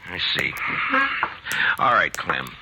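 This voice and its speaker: An actor playing a weary, decent frontier marshal in a 1950s radio drama, speaking low, quiet and hesitant. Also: male voice, lively intonation, slow pace, slight background noise, full character voice. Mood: steady. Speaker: town marshal. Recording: old radio broadcast with music underneath.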